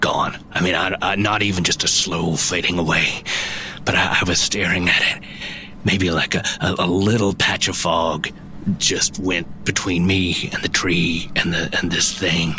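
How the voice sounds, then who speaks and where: An actor playing a shaken woodland tracker in a fantasy audio drama, telling of a monster in halting, dramatic phrases. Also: male voice, low voice, steady tone, pushed and bright, a little hiss or hum, heavily acted. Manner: halting, dramatic storyteller, often pausing and repeating.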